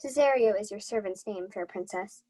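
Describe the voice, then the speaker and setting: Earnest young page in disguise played by a woman, courtly, polite, middling pitch, radio Shakespeare. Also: moderate pace, mellow, natural voice. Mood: courteous. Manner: formal, courtly. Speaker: student actor. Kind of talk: Shakespeare in 1940s radio play style.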